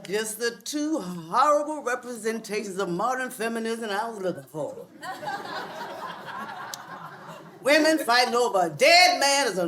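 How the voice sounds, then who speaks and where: Woman acting sassy American grandmother, swooping pitch. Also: background noise. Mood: scornful. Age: older. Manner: blunt, sassy, theatrical. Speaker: actor in a live sitcom table read. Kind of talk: live comedy script reading.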